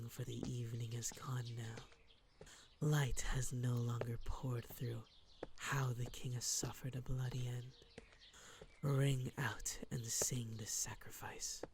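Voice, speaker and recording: An actor playing a sly serial killer villain in an audio drama, taunting and theatrical, with a breathy voice that often drops to a whisper. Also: mid-range voice, noisy background. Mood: eerie, menacing singsong. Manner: taunting, theatrical, slow and menacing. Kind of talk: audio roleplay drama acting.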